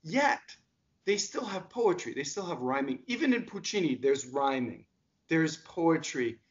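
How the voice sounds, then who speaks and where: A man's voice: fairly high pitch, a little slow. A man in his fifties from the United States, talking animatedly on a video call as a diction coach and asking pointed questions. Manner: energetic, gestures a lot, asks pointed questions. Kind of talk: casual video call discussion. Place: home music room. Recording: dull lo-fi call audio.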